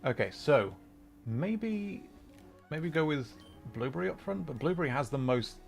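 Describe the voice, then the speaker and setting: A man hosting a gaming livestream, chatting casually and thinking out loud in a rambling way as he plays. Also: on the high side, sing-song, measured pace, creaky. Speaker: livestream host. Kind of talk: casual gaming livestream chat while playing. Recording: music playing behind the voice. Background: music.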